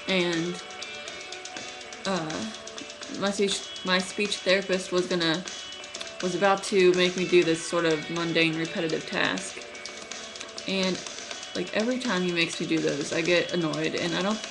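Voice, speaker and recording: A young woman in college who stutters, talking casually to her webcam in a small room, with halting speech, many fillers and restarts. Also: fairly low pitch. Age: young adult. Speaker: vlogger. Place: small room at night, indoors. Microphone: webcam at close range.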